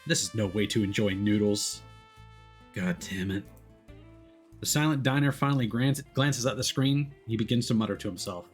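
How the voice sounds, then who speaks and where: A man who streams games, reading a story aloud as dramatic narration. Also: on the low side. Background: music.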